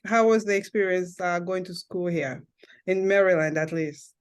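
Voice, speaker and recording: Woman podcast host, curious, brief questions, relaxed and a bit hesitant. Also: on the low side, normal speed, clear, resonant tone. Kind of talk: casual interview conversation.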